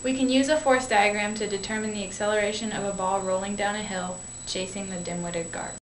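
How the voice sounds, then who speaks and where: A woman's voice: medium pitch, fairly even intonation, brisk, roomy sound, recorded from a distance, over loud music. A woman giving scripted educational narration as a physics explainer, recorded with amateur, noisy sound.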